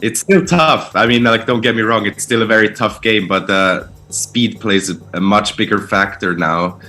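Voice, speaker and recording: A man in his thirties, raised in Switzerland, answering interview questions about ice hockey in a relaxed, easygoing way. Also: on the low side, normal speed. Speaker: guest ice hockey player.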